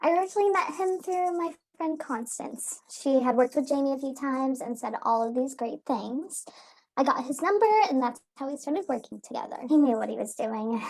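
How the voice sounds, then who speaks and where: Woman acting a high-voiced, chatty celebrity. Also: moderate pace, darkish tone, poor audio. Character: chatty, fashion-minded celebrity woman recalling a stylist. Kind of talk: amateur radio play acting.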